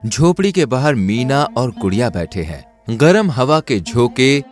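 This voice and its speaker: Expressive male story narrator. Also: mid-range voice, unhurried, soft background music.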